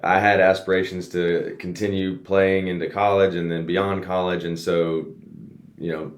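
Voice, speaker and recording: A man's voice: deep voice, flat delivery, normal speed. A man raised in the United States, a race car driver, telling his story earnestly as a guest in a casual podcast interview. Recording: roomy echo.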